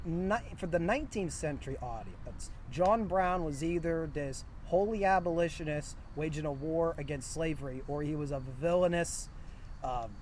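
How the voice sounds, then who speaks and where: A man's voice: a little high, normal speed, darkish tone, slight background noise. A young man hosting a casual video introduction with jokey, mock-grand banter, recorded outdoors. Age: young adult. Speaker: host. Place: outdoors at a historic site.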